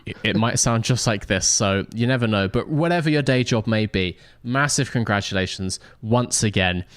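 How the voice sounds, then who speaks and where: A man's voice: fairly low pitch, normal speed, clear, resonant tone, no room sound, studio quality. A man hosting a livestream and casually interviewing racers after an event. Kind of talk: casual post-race interview.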